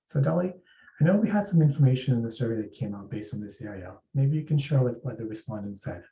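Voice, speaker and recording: A man from East Africa giving a measured, explanatory workplace presentation as an HR speaker. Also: mid-range voice, lively intonation, vocal fry, dark tone. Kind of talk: presentation talk. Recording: roomy, distant, dull sound.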